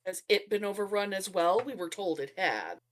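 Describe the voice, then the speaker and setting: A woman's voice: medium pitch, very animated, normal speed. A woman playing a tabletop roleplaying game, improvising dialogue in character.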